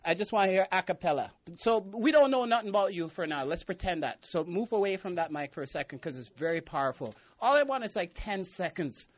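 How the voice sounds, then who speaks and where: A man's voice: high-pitched, very animated, fast talker, hardly any pauses, no room sound, highs cut off. A man hosting a casual radio interview, teasing and playful, laughing often. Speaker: radio host.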